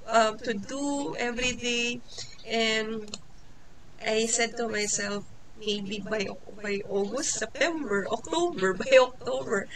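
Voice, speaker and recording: A woman's voice: fairly high pitch, poor audio. A Filipino woman in her forties whose first language is Tagalog, giving an informal lesson in English with many fillers, restarts and small laughs. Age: 40s. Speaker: teacher. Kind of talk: informal lecture.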